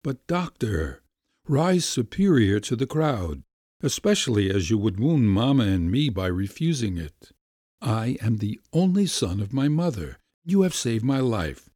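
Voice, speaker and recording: A man's voice: medium pitch, very animated, a little slow, warm tone, no room sound, studio quality. A man voicing an earnest, insistent young man who pleads effusively, in a raised voice. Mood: earnest pleading.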